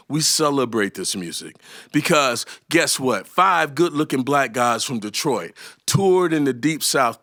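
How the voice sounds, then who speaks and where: A man performing a musical story live, animated and emphatic, with dramatic pauses. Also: mid-range voice. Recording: guitar music behind the voice. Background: acoustic guitar playing.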